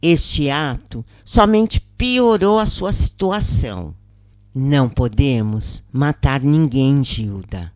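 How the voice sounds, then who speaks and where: Woman reading as troubled, slow-spoken female prisoner. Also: very deep voice, animated, darkish tone, no room sound, studio quality, exaggerated voice. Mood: earnest, concerned. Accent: Brazilian. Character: troubled woman prisoner Gilda, remorseful, questioning, uncertain.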